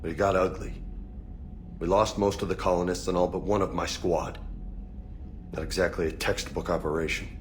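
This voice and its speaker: An actor playing a casual, easygoing but burdened soldier in a video game, with informal, slangy speech. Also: male voice, deep voice, flat delivery, moderate pace, pauses often, a little hiss or hum, close to an everyday voice. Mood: troubled, reflective. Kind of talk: conversational video game acting.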